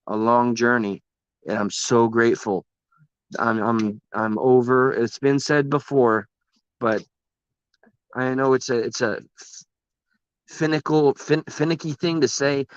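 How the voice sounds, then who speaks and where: A man's voice: medium pitch, clean tone, no echo, muffled recording. A man hosting a livestream and chatting with viewers, rambling and passionate, swearing often, his voice worn from talking a long time. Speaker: livestream host. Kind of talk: casual livestream talk to chat.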